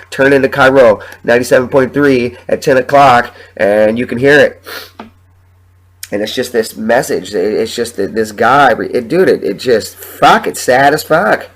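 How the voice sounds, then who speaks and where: A young man hosting his own podcast while sick with the flu, sniffling and talking in a halting, rambling, emotional way with plenty of swearing. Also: medium pitch. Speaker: podcast host. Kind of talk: solo podcast monologue.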